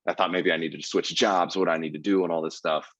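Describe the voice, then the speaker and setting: A man's voice: low-pitched, steady tone, fast talker, a bit bright, no room sound, muffled recording. A man in his twenties from Ohio, a guest comedian telling his life story in a casual podcast interview, earnest and full of fillers. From Ohio, USA. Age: 20s.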